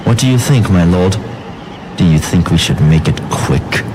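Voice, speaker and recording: Casting: beastly minion, deep voice, subservient and questioning toward his master, fantasy radio play, noisy backdrop. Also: lively intonation, normal speed. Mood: eager, sinister. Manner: servile, questioning. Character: deferential monstrous henchman serving his lord. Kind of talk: dark fantasy audio drama acting.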